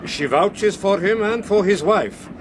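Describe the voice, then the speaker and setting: An actor playing a polite, assured doctor, courteous and precise, in a radio drama. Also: male voice, sits high, expressive, a little slow. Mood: smooth, assured. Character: polite, formal doctor travelling with a patient. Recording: clear train noise behind.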